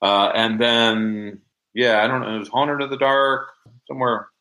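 A man's voice: mid-range voice, steady tone, a little slow, sharp tone, poor audio. A man chatting casually as an interview guest, thinking aloud in a folksy way. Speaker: interview guest, audio drama producer.

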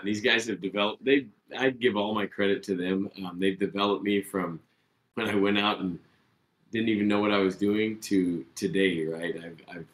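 A man speaking as a podcast guest, answering earnestly and rambling a bit, often tagging his points with "right?". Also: low-pitched. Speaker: podcast guest, team player. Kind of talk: casual interview answers.

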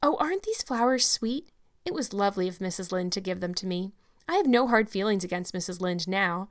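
A woman's voice: mid-range voice, expressive, brisk, lightly acted. A woman voicing an imaginative, talkative young orphan girl in a storybook reading, effusive and earnest. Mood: dreamy.

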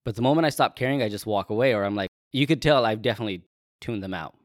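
A man's voice: lowish voice, very fast, no echo, clean studio sound. Laughing man co-hosting a Bible podcast. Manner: laughs often, self-deprecating banter. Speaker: podcast co-host. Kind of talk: casual chat about the Bible. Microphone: close podcast microphone.

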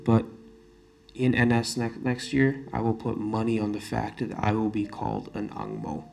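Earnest teenage podcaster, gravelly low voice. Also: male voice, fairly even intonation, normal speed, a little mellow. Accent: American-sounding, not Singaporean. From Singapore. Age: late teens. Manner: earnest, emphatic, repeats key phrases. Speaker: student podcast host. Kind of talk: solo podcast monologue.

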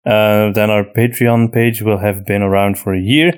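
A man's voice: fairly low pitch, level tone, normal speed, clean tone. A man co-hosting a podcast, chatting casually as he answers listener questions. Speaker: podcast host and audio drama writer.

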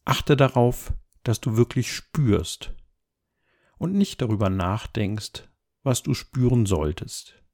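A man leading a guided meditation as an instructor, speaking calmly and gently in measured, unhurried phrases. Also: mid-range voice. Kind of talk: guided body scan meditation, soft instruction.

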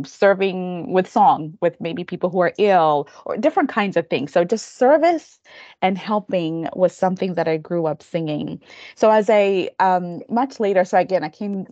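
Low-voiced woman, thoughtful. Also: a little mellow, no room sound, highs cut off. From Kenya. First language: Kikamba. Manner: thoughtful, earnest, halting restarts. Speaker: guest counselor educator. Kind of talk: reflective interview storytelling.

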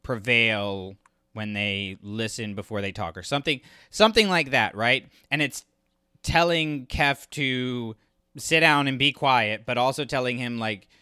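Bright, clean-voiced man chatting. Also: mid-range voice, unhurried, clean tone, no echo, studio quality. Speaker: podcast co-host. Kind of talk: casual book discussion.

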